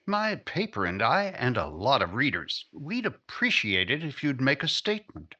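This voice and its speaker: A man acts a brisk, probing reporter in an old radio drama. He is direct and informal, with a bright voice and animated pitch, in slightly dull sound. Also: exaggerated voice. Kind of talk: 1950s radio drama acting.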